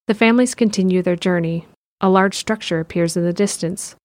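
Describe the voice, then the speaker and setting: A woman's voice: lowish voice, brisk, no room sound. A woman reading scripted narration for an audio drama. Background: faint music.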